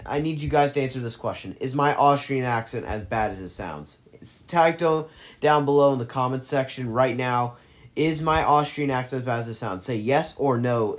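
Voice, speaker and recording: A man giving casual, effusive commentary on his favorite movies, rambling and correcting himself as he goes. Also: medium pitch. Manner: gushing, effusive praise. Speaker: movie list vlogger.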